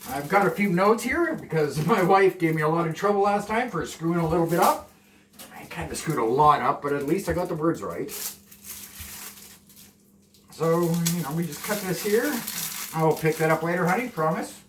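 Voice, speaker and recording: A man in his forties rambles cheerfully while he cooks, poking fun at himself and admitting camera nerves. His voice sits a bit high. The sound is roomy and picked up from across a small home kitchen, with a faint hiss behind. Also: lively intonation, normal speed. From Canada. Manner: rambling, self-deprecating, jokey asides. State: nervous on camera. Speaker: home cooking vlogger. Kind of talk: casual cooking chat. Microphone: camera a few steps away. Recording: roomy, distant camera mic.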